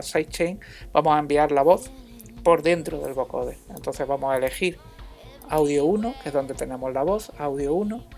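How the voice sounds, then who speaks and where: A man narrating an informal music software tutorial as an instructor, in a halting, hesitant way. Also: on the high side.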